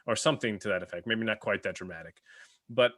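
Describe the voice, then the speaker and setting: A man on a podcast panel, talking casually and enthusiastically, often halting to gather his thoughts. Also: lowish voice, fairly bright tone, dry recording, studio quality. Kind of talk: casual discussion.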